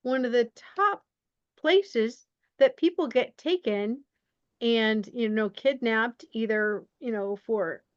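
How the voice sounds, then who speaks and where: A woman podcast host interviewing in a casual, conversational way, often halting as she searches for words. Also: a little high, clear, resonant tone, no room sound. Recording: dry, slightly dull lo-fi audio.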